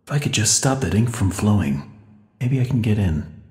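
A man's voice: on the low side, lively intonation, moderate pace, darkish tone, natural voice. An actor playing a weary, wary American man exploring a haunted cartoon studio in a video game, muttering his scripted lines in an understated way. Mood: calm, musing. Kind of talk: scripted game character dialogue. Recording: echoey game audio with long reverb.

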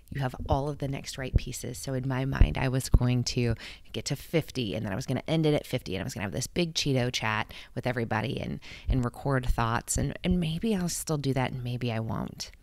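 A woman in her thirties speaks earnestly and warmly to her viewers, encouraging them and often restarting her sentences. Her voice sits low with a gravelly fry. The sound is dry and close, from a handheld mic in her bedroom. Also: normal speed, no room sound. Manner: earnest, heartfelt, encouraging, with frequent restarts and laughs. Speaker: vlog host. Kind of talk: casual motivational talk to camera. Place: bedroom at home, indoors. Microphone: handheld microphone held close.

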